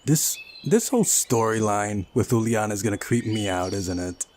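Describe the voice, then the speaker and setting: A man's voice: fairly low pitch, animated, normal speed, darkish tone, a little hiss or hum. A man hosting a visual novel playthrough, reading the text aloud and adding incredulous, exasperated asides. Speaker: video game playthrough host. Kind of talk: visual novel let's play, reading and reacting.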